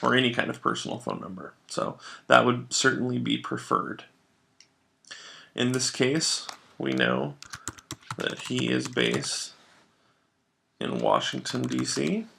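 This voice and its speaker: A man with a noticeably creaky, fry-edged voice at an average pitch walks through software as a trainer. His delivery is instructional, in the step-by-step style of a screencast tutorial, explaining the reasons as he goes. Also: very animated, slow, long pauses, a little hiss or hum.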